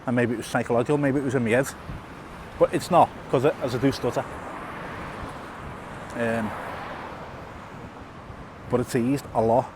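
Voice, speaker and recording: A man's voice: mid-range voice, steady tone, moderate pace, pauses often, background noise. A middle-aged man, a lisp and a stutter, talking casually to camera on a walk outdoors. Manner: stutters, repeats and restarts words, fillers. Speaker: YouTuber. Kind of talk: casual walk-and-talk vlog. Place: outdoors in a town, by a tunnel.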